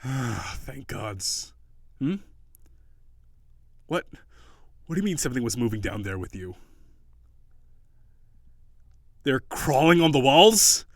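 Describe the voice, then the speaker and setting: A man's voice: medium pitch, sing-song, a little slow, long pauses, no echo. A man voicing a haughty, weary prince turned gigantic sea monster, with formal, old-fashioned, prissy diction in an audio roleplay. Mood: urgent, anxious.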